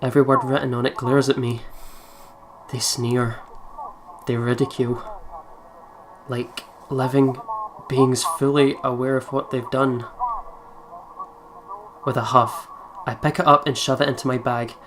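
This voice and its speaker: Scottish man, mid-pitched, casual let's play commentary, reading aloud, noisy recording. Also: flat delivery, unhurried, pauses often, fairly bright tone.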